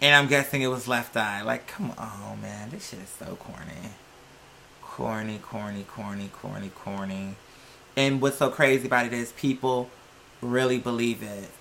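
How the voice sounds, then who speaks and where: A woman's voice: a bass voice, steady tone, normal speed, vocal fry, a bit bright, slight background noise. A woman hosting a video, giving casual, blunt commentary to viewers with plenty of swearing and slang. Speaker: video host.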